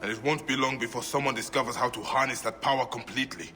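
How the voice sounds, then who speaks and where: An actor playing a grave, determined warrior who speaks tersely in a game cutscene. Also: male voice, medium pitch, fairly even intonation, quick pace, long reverb tail, over music, natural voice. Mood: stern.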